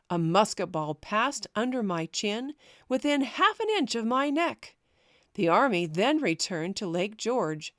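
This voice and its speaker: A woman narrating a historical memoir as an audiobook, reading in a measured, formal way. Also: mid-range voice, no room sound, clean studio sound.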